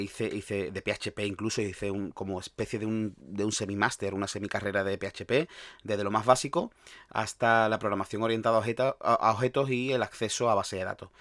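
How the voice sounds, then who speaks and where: A man from Andalusia with a softened Andalusian accent, hosting a solo podcast in a rambling, restarting way. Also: lowish voice, no echo, studio quality. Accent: Andalusian Spanish, softened. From Andalusia, Spain. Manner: rambling, with frequent restarts and fillers. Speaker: podcast host.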